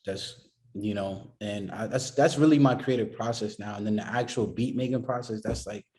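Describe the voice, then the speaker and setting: A man's voice: lowish voice, normal speed, warm tone. A man from the Boston area, a music producer being interviewed, talking casually and rambling with lots of "you know what I mean" fillers.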